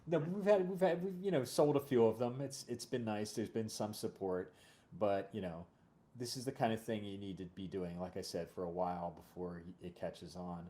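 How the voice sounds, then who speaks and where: A man's voice: on the low side, moderate pace, dark tone. A man hosting a casual interview, talking in a hesitant, rambling way and often correcting himself. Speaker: podcast host and audio drama series creator.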